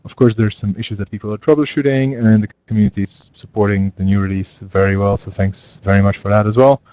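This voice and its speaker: A man with a lowish voice running a community call, brisk and playful as he moves through the agenda. His audio is dry and close, but dull and muffled. He sounds like an easygoing host. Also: steady tone, no echo. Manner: brisk, playful, with repeated restarts. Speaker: meeting host. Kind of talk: casual meeting moderation.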